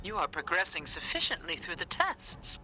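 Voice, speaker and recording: Bright-voiced, haughty villainess scientist. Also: female voice, on the low side, sing-song, moderate pace, heavily acted, rough recording. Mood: cool approval. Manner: clinical, disdainful, theatrical delivery. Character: cold, haughty, contemptuous female scientist villain. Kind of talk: video game voice acting.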